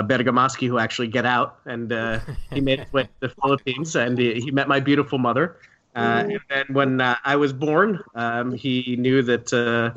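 A man's voice: mid-range voice, a bit bright. A man in his thirties, a podcast guest who talks hesitantly, stammering and repeating words. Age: 30s. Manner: hesitant, stammering, many fillers. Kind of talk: casual podcast interview. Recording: dull, lo-fi sound.

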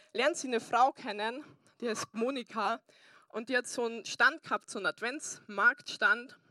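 A woman's voice: fairly high pitch, bright, forceful tone, no room sound. A young woman pastor preaching a sermon and telling her personal testimony, earnest with frequent fillers. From Bavaria, Germany.

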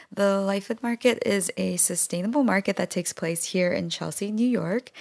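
A woman hosting a podcast, reading a scripted introduction at a steady pace. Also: lowish voice, creaky. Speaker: podcast host.

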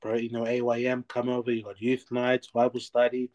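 A man's voice: medium pitch, fairly quick. Chatty man hosting. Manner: lively and chatty, repeating "amen", with many fillers and restarts. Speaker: podcast host. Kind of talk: casual podcast interview.

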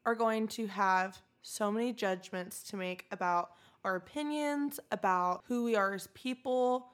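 A woman's voice: medium pitch, a little slow, a bit bright. Chatty twenty-year-old woman co-host. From California, USA. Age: 20s. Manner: bubbly, chatty and candid. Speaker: podcast co-host. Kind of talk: casual chat.

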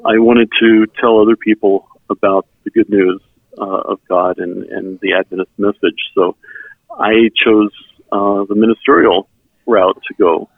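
Phone-like low-voiced middle-aged man, native German speaker. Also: vocal fry, no room sound. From South Texas. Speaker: interview guest, member services volunteer. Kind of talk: reflective personal storytelling. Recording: tinny phone-like sound, band-limited.

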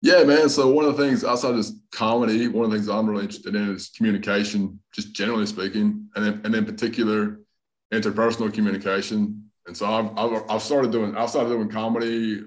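A man's voice: on the low side, flat delivery, fast, low-quality recording. A man from North Carolina with a mixed Southern US and Australian accent, a comedian chatting casually as a podcast guest. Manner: laid-back, says man and dude a lot.

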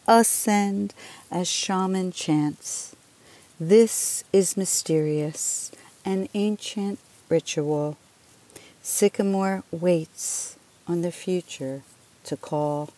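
Very slow, solemn woman reciting poetry. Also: medium pitch, fairly even intonation, dark tone. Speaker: poet reading own poems.

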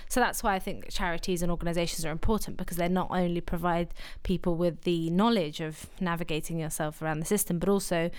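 A young woman from Afghanistan answering interview questions in a measured way, often pausing with fillers and restarting words. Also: on the low side, clear, resonant tone.

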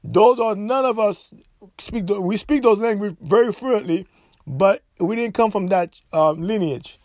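A man's voice: high voice, a little slow, a little mellow, dry recording. A man from Haiti, delivering an impassioned, repetitive monologue straight to his viewers. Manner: emphatic, repetitive, preachy.

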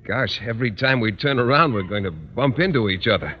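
A man's voice: mid-range voice, expressive, brisk, close to an everyday voice. An American actor playing a bashful, earnest male biology teacher in 1950s radio comedy, hesitant and easily flustered. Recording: muffled, noisy old radio recording.

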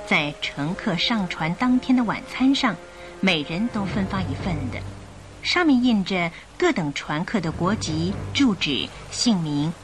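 A woman narrating a scripted radio drama as the storyteller. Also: on the low side. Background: music.

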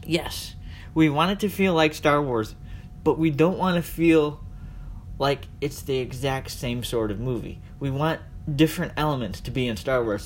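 A man hosting a casual solo podcast, speaking conversationally and addressing his listeners directly. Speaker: podcast host. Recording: noisy with low background rumble.

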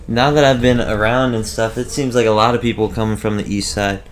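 A man's voice: lowish voice, steady tone, a little fast. Easygoing male podcast host. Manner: easygoing, frequent "like" and "you know what I mean". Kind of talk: casual interview questions.

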